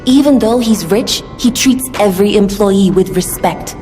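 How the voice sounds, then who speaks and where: Game role: woman as practical young female tinkerer, mid-pitched, matter-of-fact, long reverb, music behind. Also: normal speed, warm tone, lightly acted. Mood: concerned, earnest. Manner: earnest, conversational, matter-of-fact. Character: kindly, practical young woman weapons craftswoman. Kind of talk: video game dialogue acting.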